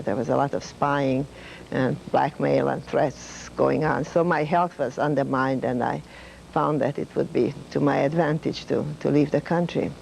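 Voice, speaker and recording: Older Hungarian-accented woman, retired professor, gravelly, measured reminiscing. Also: low voice, slight background noise, poor audio. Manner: measured, with fillers and soft laughs. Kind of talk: reflective storytelling.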